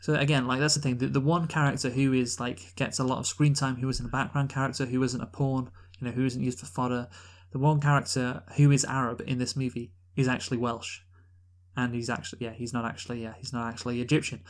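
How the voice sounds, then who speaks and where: A man's voice: medium pitch, level tone, quick pace. A man co-hosting a casual, unscripted film review talk, giving emphatic opinions and repeating his points. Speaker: podcast co-host reviewing films.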